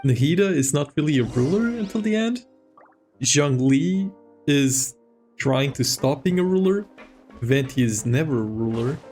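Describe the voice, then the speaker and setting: A man's voice: fairly high pitch, a little mellow. A man doing casual game-stream commentary in a rambling, amused, blunt way.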